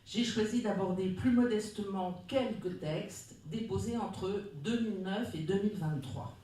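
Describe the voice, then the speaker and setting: Round-table speaker, measured formal reading, far from the mic, booming room. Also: warm tone. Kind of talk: reading a prepared talk. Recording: very echoey room, distant mic.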